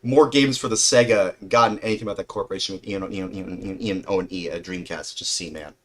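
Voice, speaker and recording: A man's voice: deep voice, fairly even intonation, fast talker. A man in his mid-twenties chatting casually as a livestream host, rambling earnestly and often repeating and rephrasing himself.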